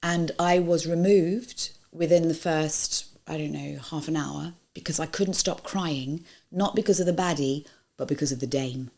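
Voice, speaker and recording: Low-voiced woman, candid and chatty. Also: normal speed, darkish tone. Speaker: musical theatre actress. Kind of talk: reminiscing interview.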